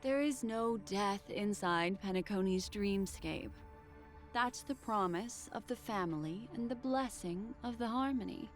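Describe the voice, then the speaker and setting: An actress playing a calm, enigmatic young woman sleuth in a video game, speaking in a composed, deliberate manner. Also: medium pitch, natural voice. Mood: calm, certain. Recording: faint game music behind the voice. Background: game music.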